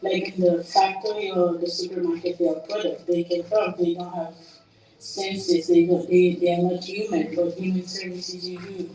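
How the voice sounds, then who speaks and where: A woman with a former student, giving an earnest, halting testimonial interview. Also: lowish voice, flat delivery, dark tone. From Haiti. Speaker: former student, program participant. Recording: very echoey room, distant mic.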